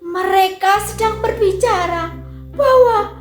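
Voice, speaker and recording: A woman voicing an excitable, frantic male rooster in a storybook tale, squealing and crowing. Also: takes it slowly. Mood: alarmed. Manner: squeals and crows, shrill and shouty. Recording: roomy echo, faint music.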